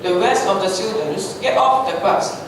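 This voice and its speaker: A woman's voice: medium pitch, expressive, moderate pace. A young woman reading scripted story narration aloud in a readers' theatre performance. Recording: very echoey hall, distant mic, noisy.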